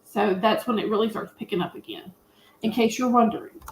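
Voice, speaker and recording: A woman's voice: mid-range voice, a little fast, a little hiss or hum. A woman chatting casually and emphatically about films and series as an opinionated panelist. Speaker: panelist on a movie review show. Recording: roomy, echoey sound.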